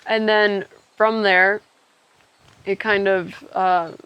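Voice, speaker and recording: A woman's voice: mid-range voice, level tone, extremely slow, fairly bright tone, clear, resonant tone, no room sound. A young woman from Ecuador talking candidly and reflectively on a podcast, thinking aloud with trailing asides. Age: young adult. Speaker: podcast co-host telling their own story.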